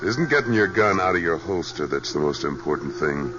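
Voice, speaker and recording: A man's voice: deep voice, expressive, fairly quick, noisy background. A man acting a tough, laconic cowboy hero in a 1950s radio western, speaking and narrating in a terse, commanding manner. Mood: cool, firm. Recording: dull 1950s radio recording with music.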